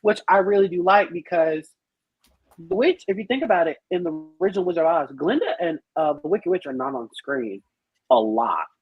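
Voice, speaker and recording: A podcast panelist with a husky voice, heard over slightly muffled, lo-fi call audio. The delivery is casual and animated, acting out reactions while explaining things in a very dry-sounding space. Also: medium pitch, a little fast, no echo. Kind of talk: casual chat, film review podcast. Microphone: online group call.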